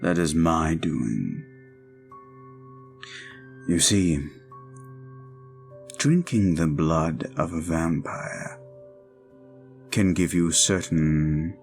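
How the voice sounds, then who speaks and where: A man playing a suave, possessive vampire in an audio roleplay, speaking formally and deliberately with a commanding manner. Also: extremely low pitch, creaky, heavily acted. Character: suave, controlling male vampire, courtly and possessive. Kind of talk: scripted audio roleplay, slow intimate monologue.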